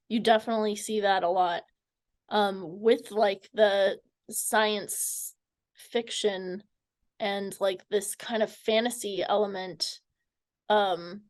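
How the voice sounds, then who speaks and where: Woman interviewer, casual, slow delivery, medium pitch, tentative, halting.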